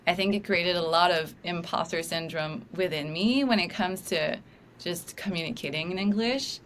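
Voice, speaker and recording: A woman's voice: on the low side, moderate pace, a little hiss or hum. A woman with a French accent, whose first language is French, hosting a podcast and talking casually, sometimes hesitating to find a word. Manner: warm, hesitant, searching for words. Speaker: podcast host. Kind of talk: casual conversational interview.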